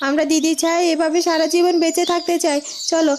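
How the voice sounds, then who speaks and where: Very high-voiced humble village wife, acted by a woman. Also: flat delivery, fast talker, background noise. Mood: grateful. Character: humble, grateful village wife selling snacks. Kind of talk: cartoon fairy-tale dialogue. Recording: music and birdsong behind.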